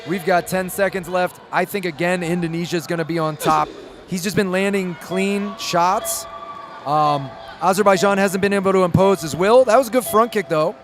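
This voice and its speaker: Excitable high-voiced male commentator, slightly hoarse. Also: normal speed, over music. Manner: excitable, chatty, talks to chat viewers. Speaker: play-by-play commentator. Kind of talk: live sports commentary.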